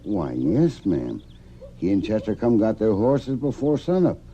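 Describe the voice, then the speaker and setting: A man's voice: on the low side, very animated, measured pace, warm tone. An actor playing a kindly, inquisitive frontier stableman with a folksy rural American Western drawl in an old-time radio drama. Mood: concerned, puzzled. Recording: dull, faintly noisy old radio.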